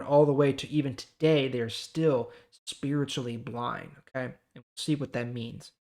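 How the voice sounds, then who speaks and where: Informal host reading and explaining scripture. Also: medium pitch. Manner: informal, explanatory, checks in with listeners. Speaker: host of a Bible reading series. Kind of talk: Bible read-aloud with casual commentary.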